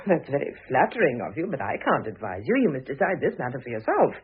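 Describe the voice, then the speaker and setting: An actress playing a poised, wryly ironic middle-aged mother and ex-wife, gently sarcastic in an old-fashioned radio drama. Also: a bass voice, big pitch swings, fast, breathy edge, full character voice. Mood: cool, wry. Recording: muffled, lo-fi old radio recording.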